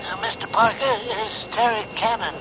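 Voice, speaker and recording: Man acting as a polite middle-aged caller, high voice, halting, deferential, rambling, 1970s radio drama, noisy recording. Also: fairly even intonation, lightly acted. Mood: earnest, hesitant. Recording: dull noisy radio recording, phone-call scene.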